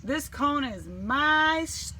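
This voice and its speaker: A woman's voice: high voice, expressive, slow pace, pushed and bright, a little hiss or hum, voice pushed up, heavily acted. A woman voicing a greedy, stubborn male squirrel who hoards food in a storybook, with an insistent manner. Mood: defiant. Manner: insistent, hollering.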